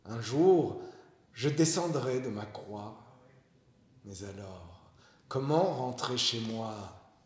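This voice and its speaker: A man reciting literary poetry in a solemn, measured way, with deliberate phrasing and pauses. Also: medium pitch, animated, reverberant. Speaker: poetry reader.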